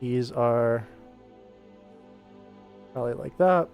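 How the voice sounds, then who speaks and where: Male animator-streamer, mid-pitched, informal and explanatory, chatting to viewers with music underneath. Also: slow pace, lo-fi sound. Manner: informal, addresses viewers, narrates actions with sound-effect words. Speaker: animator and livestream host. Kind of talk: casual work-stream chat with tutorial explanation.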